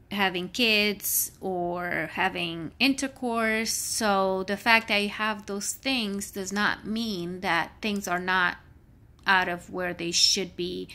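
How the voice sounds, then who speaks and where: A woman's voice: medium pitch, sharp tone. A woman in her thirties talking candidly in a personal vlog, rambling with frequent fillers; she works in healthcare. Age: 30s. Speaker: vlogger; healthcare worker.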